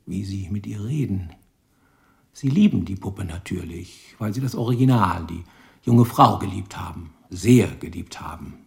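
An actor playing a worldly, probing police lieutenant in a German radio drama, calm and ironic. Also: male voice, deep voice, exaggerated voice. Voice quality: gravelly, probing, calmly ironic.

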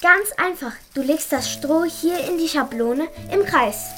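A woman playing a confident, cheeky, practical young schoolgirl in a children's radio play, brisk and matter-of-fact. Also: high-pitched, expressive, fairly bright tone, a little hiss or hum. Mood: brisk, instructive.

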